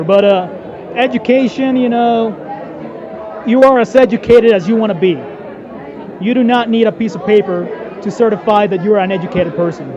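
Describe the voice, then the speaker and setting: A man in his late twenties giving casual, blunt answers in an informal interview. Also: high-pitched. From born in Colombia, lived in the United States. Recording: dull sound in noisy public space. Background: crowd chatter.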